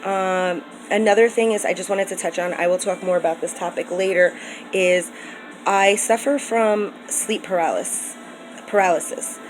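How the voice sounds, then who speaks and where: A woman's voice: mid-range voice, moderate pace, a little hiss or hum, poor audio. A woman from the United States talking in an earnest, rambling way with many ums and restarts in a personal vlog. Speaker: vlogger. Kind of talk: casual personal vlog talk.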